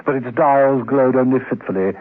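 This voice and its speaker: An actor narrating a spy radio serial in a solemn, dramatic scripted style, with a husky voice. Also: male voice, mid-range voice, moderate pace, background noise, natural voice. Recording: narrow, tinny old radio recording.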